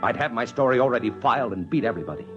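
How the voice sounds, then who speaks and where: A man's voice: medium pitch, animated, rapid-fire, lightly acted. A man acting a desperate journalist father in an old radio drama, both speaking and narrating in hard-boiled style. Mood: brooding. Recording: dull old radio recording, loud music behind.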